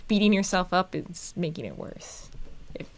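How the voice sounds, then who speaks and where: A woman's voice: on the low side, expressive, moderate pace, slight background noise. A thirty-year-old woman chatting informally and jokily as a co-host on a live stream. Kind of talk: casual chat.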